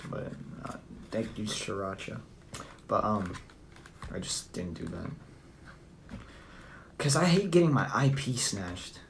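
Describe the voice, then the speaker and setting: Low gravelly man with a Southern accent. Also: sing-song, takes it slowly, long pauses, a little hiss or hum. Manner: blunt, profane, rambling. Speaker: livestreamer. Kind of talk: casual live-stream chat reading comments.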